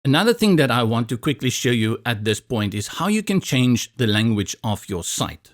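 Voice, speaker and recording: A man from South Africa, Afrikaans his first language, with a South African accent, calmly talking through a software tutorial step by step with a bit of light humor. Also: medium pitch, normal speed. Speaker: tutorial instructor. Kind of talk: screen-recorded software tutorial.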